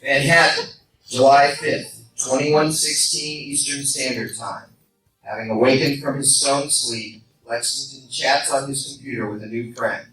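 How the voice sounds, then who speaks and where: Echoey, bright male stage narrator. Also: medium pitch, unhurried, soft background music. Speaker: radio play narrator and emcee. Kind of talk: live announcing and scripted narration. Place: convention panel room, recorded from the audience. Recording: very echoey, distant fan recording, noisy.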